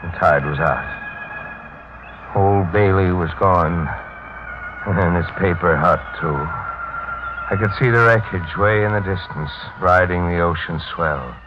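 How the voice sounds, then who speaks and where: A man's voice: sits low, measured pace, pauses often. An actor playing a haunted man with no memory, telling his ordeal in a 1940s radio mystery. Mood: grim, weary. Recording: muffled, noisy 1940s radio recording.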